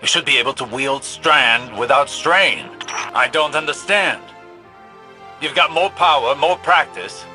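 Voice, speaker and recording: An actor playing an older scholarly mentor in a video game, intense and demanding, with formal, measured, philosophical speech. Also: male voice, mid-range voice, expressive, sharp tone, soft background music, low-quality recording. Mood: frustrated.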